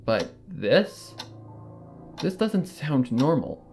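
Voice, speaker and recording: A man narrating a first-person horror fanfiction in a measured, brooding way. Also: fairly high pitch, very animated, over music.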